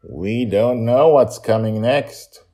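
A man's voice: mid-range voice, slow, mellow. A middle-aged man hosting his own story podcast, chatting casually and self-mockingly through the outro and credits. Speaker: podcast author and narrator.

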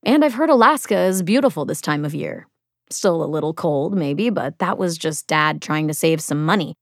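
A woman's voice: deep voice, expressive, moderate pace, no echo, studio quality. A woman voicing an insistent, coaxing family man in an audiobook, persistent and matter-of-fact in manner. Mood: persuasive.

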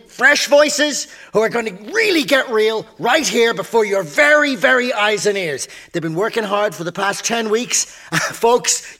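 A man's voice: very high voice, bright, forceful tone, heavily acted. An actor in a radio drama playing a charismatic male poetry-night compere, theatrical and full of hype. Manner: theatrical, hyped, drawn-out build-up. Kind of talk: stage announcing in radio drama.

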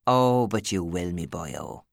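A woman's voice: rumbling low, lively intonation, slow pace, dry recording, clean studio sound, voice pushed down, exaggerated voice. A woman voicing an outspoken, protective middle-aged Irish woman in an audiobook, scolding and forthright. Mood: scornful. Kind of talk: audiobook character acting.

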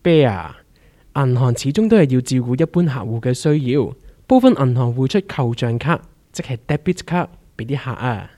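A man's voice: medium pitch, animated, moderate pace, warm tone, no room sound, lightly acted. Man acting as theatrical, swooping veteran small-time trader. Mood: explaining. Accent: Hong Kong. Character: excitable male veteran retail stock trader, teasing know-it-all. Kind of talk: Cantonese comic radio drama dialogue.

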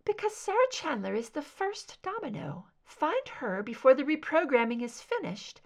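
Woman voicing an ethereal high-pitched mysterious female informant. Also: big pitch swings. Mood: ominous, intent. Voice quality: clear, soft, delicate, ethereal soprano. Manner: cryptic, unruffled, deliberate.

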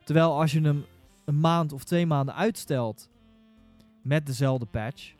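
A man in a casual podcast discussion who starts haltingly and repeats his words. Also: fairly high pitch, darkish tone, soft background music. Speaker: podcast panelist.

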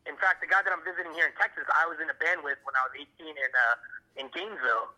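A man's voice: on the high side, fast, sharp tone. An American man from Miami chatting casually on a phone call, rambling through old stories in slangy, profane language. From Miami, USA. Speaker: interview guest on a phone call. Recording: tinny phone line.

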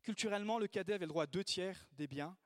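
A man preaching a sermon in a lively way, acting out the voices he quotes. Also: high voice, quick pace, dry recording, studio quality. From Italy. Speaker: pastor.

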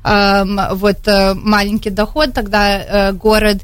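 A woman's voice: mid-range voice, monotone, measured pace, clear, resonant tone, no room sound. A woman with an American accent, a candidate answering radio interview questions, hesitant and often searching for words. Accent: American English. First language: English. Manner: hesitant, searching for Russian words, many fillers. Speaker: city council candidate. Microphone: studio microphone.

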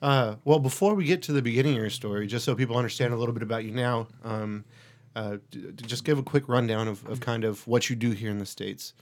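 A man's voice: mid-range voice, fast, few pauses. A man hosting a casual interview, easygoing, with frequent "yeah" and "uh-huh" replies. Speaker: podcast interviewer.

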